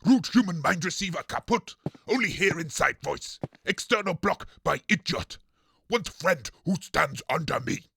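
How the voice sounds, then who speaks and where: A rude green brute from a comedy radio sketch, barking clipped broken phrases. The voice is husky and forceful, recorded very dry. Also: male voice, on the high side, lively intonation, normal speed, no echo, heavily acted. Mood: gruff, indignant. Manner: blunt, clipped broken grammar. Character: rude big green brutish creature, grumbling in broken speech. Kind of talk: comedy audio sketch acting.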